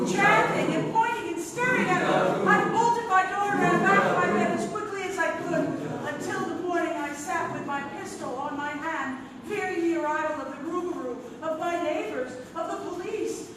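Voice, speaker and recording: Actress as high-voiced archivist, very echoey. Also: female voice, moderate pace. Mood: frightened, intense. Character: woman archivist reading a haunted man's diary aloud. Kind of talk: dramatic reading of an old diary. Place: live stage in a theatre. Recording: very echoey noisy theatre, far off.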